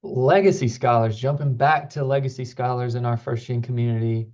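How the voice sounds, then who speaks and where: A man's voice: mid-range voice, fairly even intonation, a little fast, darkish tone. A man giving an informational presentation as a student program staffer, earnest and explanatory, repeating words for emphasis. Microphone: online call microphone. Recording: slightly dull, lo-fi call recording.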